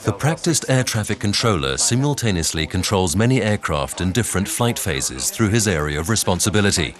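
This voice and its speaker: A man reading scripted documentary narration in a measured, instructional way. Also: lowish voice, expressive. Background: background music.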